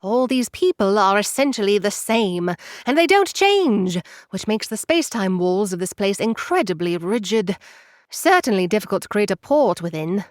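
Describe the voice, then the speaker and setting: An actress playing a calm, knowing advisor who explains things in a measured, dry way. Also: female voice, mid-range voice, no echo, faint music behind, close to an everyday voice. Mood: explaining.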